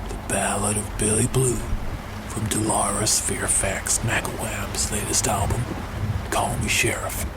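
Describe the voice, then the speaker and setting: Casting: a homespun small-town radio presenter for comic audio drama, rambling along very slowly in a deepish voice. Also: male voice, noisy background. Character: folksy male small-town late-night radio host and waiter.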